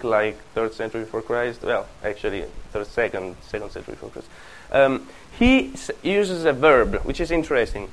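A man's voice: medium pitch, low-quality recording. A man with an Italian accent lecturing a class, digressing often, correcting himself and joking with students. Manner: digressive, self-correcting, jokey asides to students. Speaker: university lecturer.

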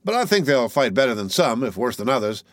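A man's voice: mid-range voice, big pitch swings, a little fast, no room sound, studio quality, close to an everyday voice. A man reading aloud and voicing a boastful tall young soldier who talks in a drawling, folksy way. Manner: drawling, folksy, sure of himself.

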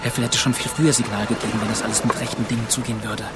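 An actor playing Smirre, an anxious water gnome who captains a ship and barks orders in a radio play. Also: male voice, fairly low pitch, little pitch movement, rapid-fire, over loud music, lightly acted. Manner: worried, commanding orders.

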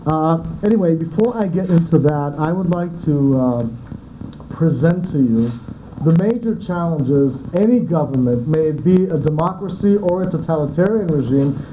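A man's voice: a little high, expressive, moderate pace, mellow. Israeli man lecturing with American accent. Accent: American English. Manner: many filler uhs, earnest. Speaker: guest lecturer. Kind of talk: university lecture. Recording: echoey room, noisy.

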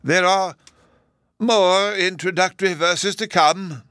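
A man's voice: high voice, slow pace, bright, forceful tone, clean tone, no echo, studio quality, voice pushed up, full character voice. A man voicing a befuddled, kindly old professor in a storybook, with a hesitant, singsong delivery. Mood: declamatory, hungry. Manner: dithering, hesitant, singsong and theatrical.

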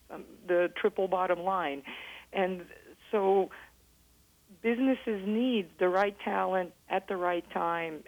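A woman from Serbia with a Serbian accent, a business psychologist answering interview questions in a measured, articulate way. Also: mid-range voice, phone-quality sound.

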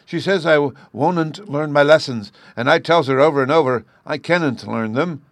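A man's voice: a little high, moderate pace, no room sound, pitched above the performer's own voice, natural voice. A man voicing a stubborn, cheeky little boy who lisps in baby talk, reading a storybook. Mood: complaining. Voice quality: childish lisp, says oo for you. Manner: insistent, bouncy.

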